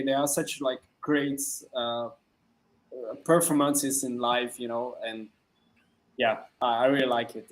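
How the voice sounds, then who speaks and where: A man from France, a music producer answering interview questions in halting English full of fillers. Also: medium pitch, rough recording. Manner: halting, many "uh" fillers and restarts, simple non-native phrasing.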